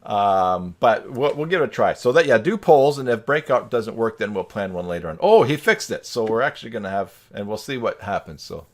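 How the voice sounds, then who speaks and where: Guy with a lowish voice hosting a relaxed video call chat, dry joker. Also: brisk, few pauses. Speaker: host of a live stream. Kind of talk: casual live-stream chat.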